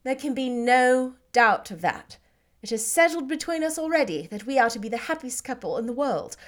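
A woman's voice: high-pitched, lively intonation, moderate pace. A woman voicing a spirited, witty young woman who talks playfully and teasingly.

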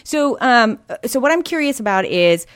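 A woman's voice: on the high side, big pitch swings, normal speed, clear, resonant tone, no room sound, clean studio sound. A woman hosting a podcast interview, talking in a chatty, upbeat and eager way. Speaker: podcast host. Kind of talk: casual interview chat.

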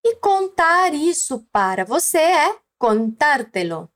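A woman with a Brazilian Portuguese accent, teaching a language lesson slowly and clearly, prompting the listener to repeat. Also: high-pitched, very animated, a bit bright. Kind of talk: scripted lesson narration.

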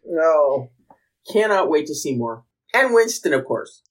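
A woman's voice: low voice, big pitch swings, a little slow, low-quality recording. A woman co-hosting a casual recap chat, enthusiastic and emphatic, often repeating herself for effect. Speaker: podcast co-host. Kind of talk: casual, chatty TV recap discussion.

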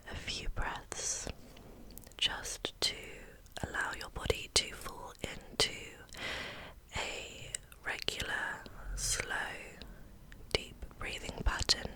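A woman gently guiding a relaxation as an ASMR host, in a breathy, soothing whisper. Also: poor audio. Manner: gentle, soothing, unhurried instruction.

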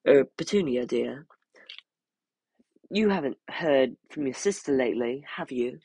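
A man's voice: mid-range voice, lively intonation, unhurried, gravelly, darkish tone, breathy edge, no room sound, full character voice. A man voicing a nervous, stuffy middle-aged husband who hesitates and stammers, in a storybook reading.

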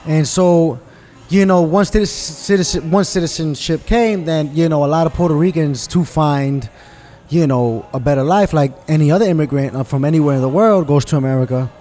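A man's voice: fairly high pitch, normal speed, mellow. A man from New York in a casual conversation, talking emphatically and often asking "you understand?" to check he is followed. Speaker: guest.

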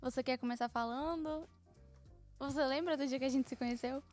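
A woman's voice: high voice, a little fast, soft background music. A young woman, co-hosting a casual couple podcast and telling stories with teasing laughs. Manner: laughing, teasing, lively storytelling. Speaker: podcast co-host.

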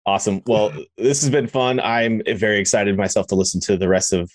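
A man's voice: on the low side, dry recording. A man hosting a casual podcast interview, easygoing and appreciative of his guest.

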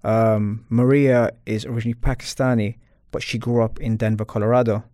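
A man's voice: mid-range voice, level tone, fairly quick, warm tone, no room sound, clean studio sound. A man speaking as an interview guest, talking earnestly and reflectively about his personal life.

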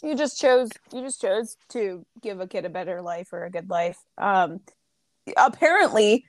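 A woman's voice: mid-range voice, expressive, normal speed, dry recording. A young woman co-hosting a podcast, chatting casually with a loose, teasing manner and casual swearing. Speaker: podcast co-host. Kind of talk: casual chat.

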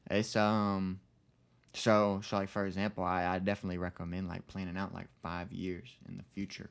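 A man in his early twenties talking casually and reflectively on a podcast, earnest and rambling, with plenty of slang. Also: low voice. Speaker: podcast co-host.

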